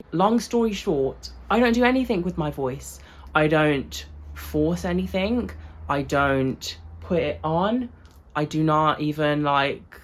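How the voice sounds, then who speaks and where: A young woman from England, chatting loosely to camera in a vlog, full of fillers and asides. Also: sits low. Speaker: vlogger. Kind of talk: casual chat to camera.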